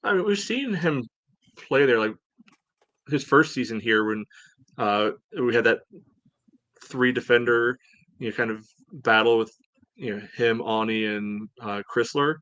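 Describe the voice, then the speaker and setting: A man co-hosting a soccer podcast, giving casual analysis in a measured, halting, conversational way. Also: mid-range voice, fairly bright tone, dry recording, low-quality recording. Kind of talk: casual sports analysis chat.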